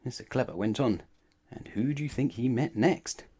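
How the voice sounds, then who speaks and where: A man narrating a children's picture book aloud, expressive and often addressing the young listener directly. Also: mid-range voice, moderate pace, breathy edge. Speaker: storybook narrator.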